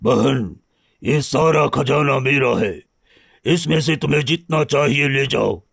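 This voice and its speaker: Man voicing a gravelly, husky noble lion. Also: medium pitch, slow pace, fairly bright tone, no echo, clean studio sound, full character voice. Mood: generous, warm. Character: wounded, forgiving, noble lion friend. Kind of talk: animated moral story dialogue.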